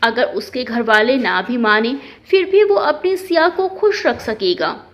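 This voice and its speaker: A woman's voice: on the high side, lively intonation, measured pace, fairly bright tone. A woman voicing a patient middle-aged mother who placates and reasons in a family story. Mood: placating. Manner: placating, persuading. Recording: dull, lo-fi sound.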